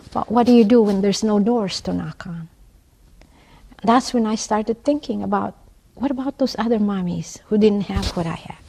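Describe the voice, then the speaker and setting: A woman's voice: mid-range voice, dark tone. A woman in her sixties with a Filipino accent, a nurse and community activist telling her personal story in an interview, earnest and emphatic, often tagging "right?". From Philippines (La Union, Ilocos region). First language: Ilocano. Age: 60s.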